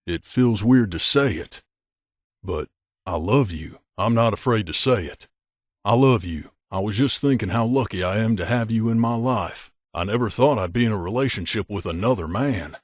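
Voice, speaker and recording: A man voicing an earnest, wounded wasteland companion in a video game, speaking sincerely and emotionally with hesitant pauses. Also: on the low side, dry recording, studio quality, natural voice.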